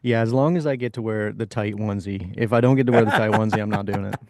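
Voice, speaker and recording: A man's voice: lowish voice, steady tone, fast, darkish tone, no room sound, studio quality. A man from Alberta co-hosting a podcast, joking and laid-back. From Alberta, Canada. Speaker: podcast co-host. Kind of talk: casual podcast banter.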